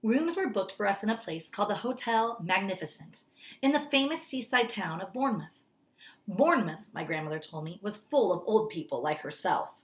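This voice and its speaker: A woman reads a storybook aloud in the manner of a teacher. Her narration is expressive, with a mid-range voice and very lively movement in pitch. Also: moderate pace.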